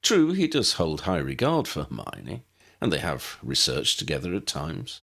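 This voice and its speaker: A man voicing a formal, reassuring gentleman wizard in an audiobook, measured and well-spoken. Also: extremely low pitch, very animated, vocal fry, exaggerated voice. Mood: frank, reassuring.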